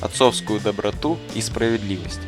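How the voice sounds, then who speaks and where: A man's voice: on the low side, normal speed, natural voice. Guy playing a kindly male teacher in a cartoon, earnest n bookish, explains stuff, music under it. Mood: warm, reflective. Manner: earnest, didactic, bookish phrasing. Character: thoughtful, kindly male schoolteacher who talks like a gardener. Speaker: teacher answering interview questions. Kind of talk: measured explanatory narration in an animated film. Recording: voice over clear background music.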